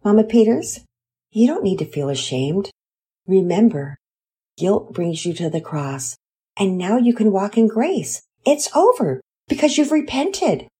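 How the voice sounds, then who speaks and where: A woman's voice: mid-range voice, sing-song, unhurried, husky, pitched above the performer's own voice, exaggerated voice. Woman voicing a sweet, compassionate daughter. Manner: sweet and gentle.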